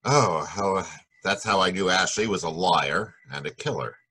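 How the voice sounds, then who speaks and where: An actor playing a hardboiled, wisecracking American private detective in a 1940s-style radio noir. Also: male voice, low voice, normal speed, pushed and bright, husky, heavily acted. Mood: explaining.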